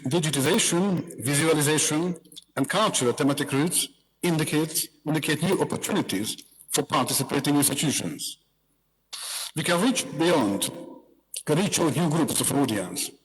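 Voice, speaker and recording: A man from Hungary with a Hungarian accent, giving a formal, measured speech with rhetorical questions on behalf of a museum. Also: mid-range voice, big-room sound, low-quality recording. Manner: measured, rhetorical, with repeated words.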